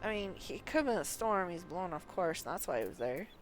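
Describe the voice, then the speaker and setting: A woman's voice: fairly low pitch, expressive, brisk, soft background music. A woman live-streaming a game, chatting casually and reading quest text aloud, stumbling over unfamiliar names. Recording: clearly noisy background.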